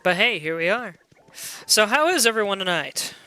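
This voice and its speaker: A young adult game streamer with a loud voice, chatting casually and excitably while playing and greeting viewers. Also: mid-range voice, moderate pace, smooth. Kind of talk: casual live gameplay chat.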